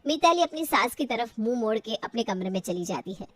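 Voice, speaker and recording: A woman's voice: fairly high pitch, expressive, brisk, a bit bright, no echo. A woman narrating a scripted family story as a storyteller. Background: music.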